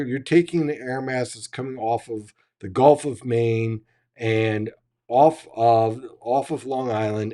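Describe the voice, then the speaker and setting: A man who hosts a live weather chat, teaching how storms build and answering viewer questions, his voice going hoarse. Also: mid-range voice, a little mellow. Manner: explanatory, teacherly, asks "right?" often. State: losing his voice. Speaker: meteorologist hosting a live chat. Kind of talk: live-stream weather explanation and Q&A.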